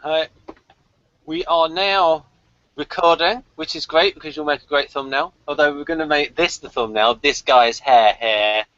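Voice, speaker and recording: A man's voice: on the high side, normal speed, a bit bright, no room sound, highs cut off. A man hosting a casual, playful chat review of a TV episode. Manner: playful, bantering. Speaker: podcast host.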